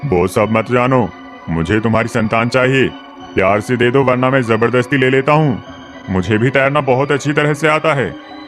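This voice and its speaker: Boastful evil sorcerer, acted by a man, mid-pitched, threatening, greedy, cartoon villain, music underneath. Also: normal speed, fairly bright tone, close to an everyday voice. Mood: menacing. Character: greedy, menacing, power-hungry male sorcerer. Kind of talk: Hindi cartoon horror-story voice acting.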